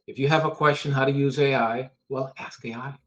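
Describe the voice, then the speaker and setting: A man on a panel over a video call who gives frank, blunt advice, pausing often with "um.". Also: mid-range voice, steady tone, a bit bright, highs cut off. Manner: blunt, emphatic, frank advice with pauses and ums.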